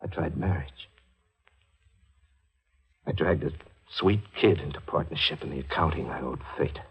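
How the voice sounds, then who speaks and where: An actor playing a guilt-ridden newspaper reporter in a 1940s radio mystery, confessing in a halting, anguished way. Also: male voice, very deep voice, breathy edge, full character voice, rough recording. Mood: weary, remorseful.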